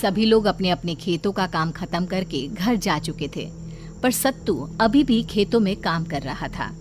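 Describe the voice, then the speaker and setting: A woman's voice: lowish voice, animated, a little slow. Woman narrating a story over music.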